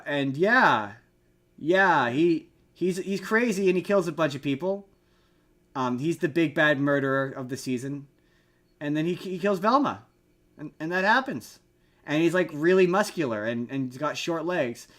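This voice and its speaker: Guy podcast host, kinda high voice, rambly and jokey, just chatting. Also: a bit bright. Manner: rambling, jokey, repeats himself. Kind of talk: casual chat about film and TV.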